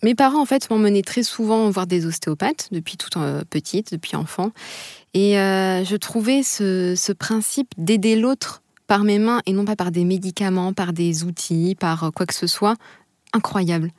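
A young adult woman from Bordeaux, answering interview questions with enthusiastic, expressive storytelling and a few hesitations. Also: medium pitch, moderate pace, no room sound, studio quality. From Bordeaux, France. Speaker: guest.

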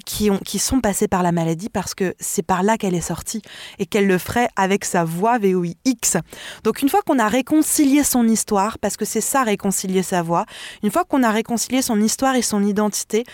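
A woman vocal coach speaks as a radio guest in an expressive, animated way, laughing easily and catching herself mid-sentence. Her voice sits at a middle pitch, and the recording is close and dry with no room sound. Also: no room sound. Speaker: vocal mentor and singer.

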